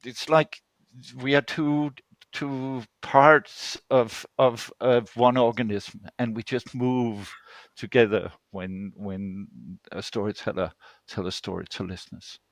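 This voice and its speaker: Older male storyteller, Danish accent, mid-pitched, conversational and searching for words, dry online-call sound. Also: takes it slowly, no room sound. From Denmark. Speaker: guest storyteller.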